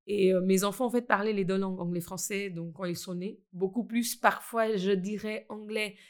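A woman's voice: medium pitch, steady tone, moderate pace. A middle-aged woman from India, talking hesitantly with many fillers as an interviewee about founding a school. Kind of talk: conversational interview.

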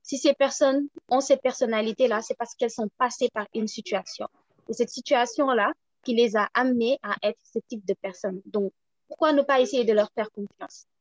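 A woman from Senegal with a Senegalese French accent, speaking as a guest and making an earnest, measured appeal for support. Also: fairly high pitch, dry recording, lo-fi sound. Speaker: guest, project founder.

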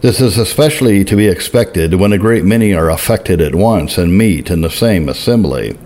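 A man giving a solemn, deliberate reading of historical religious writing, slowing down to stress key phrases. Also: deep voice, a little mellow. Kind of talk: measured scripted reading.

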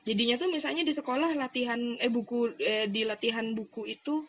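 Chatty young woman, higher voice. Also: fairly even intonation, fairly quick, a crowd in the background, poor audio. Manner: chatty, storytelling, slangy. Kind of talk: casual chat.

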